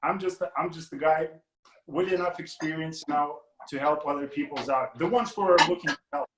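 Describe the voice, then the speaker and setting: A man from Lithuania speaks as a guest coach, his voice sitting somewhat high for a man. He rambles, circling back through fillers and restarts. The room around him is noticeably echoey. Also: moderate pace, a little hiss or hum. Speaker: guest money mindset coach. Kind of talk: casual interview talk.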